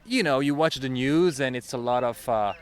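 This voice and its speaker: A man from Belgium with a Belgian French accent, a TV correspondent chatting in an interview with quick bursts and self-corrections. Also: mid-range voice, smooth. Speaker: national TV correspondent. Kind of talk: casual interview answers. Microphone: handheld interviewer mic.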